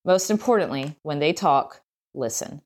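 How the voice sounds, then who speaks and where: A woman's voice: low-pitched, animated, moderate pace. A woman reading a scripted travel essay aloud as narration. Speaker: essay reader.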